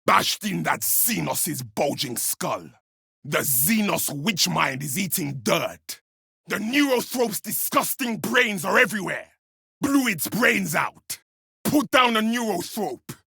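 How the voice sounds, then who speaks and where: Harshly rasping, savage space marine. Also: male voice, high-pitched, big pitch swings, slow, no echo, exaggerated voice. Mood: grim triumph. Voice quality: gravelly, rasping. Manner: forceful barked battle cries. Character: gruff, battle-hardened male space marine warrior, savage and zealous. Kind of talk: video game combat voice lines.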